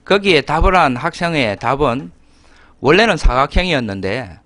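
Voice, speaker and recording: A middle-aged man speaks with a Gyeongsang accent as a guest pastor. He talks slowly, stopping often, and his pitch moves a lot. The recording is dry, with almost no room echo. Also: animated, pushed and bright. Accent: Gyeongsang dialect. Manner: slow, deliberate, with pauses and filler "아". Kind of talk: conversational explanation.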